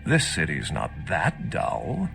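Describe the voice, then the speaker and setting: Game role: wry vampire archaeologist, sardonic and learned, with lively pitch movement over faint music. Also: male voice, slow, creaky, full character voice. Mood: wry, curious. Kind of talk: video game dialogue acting.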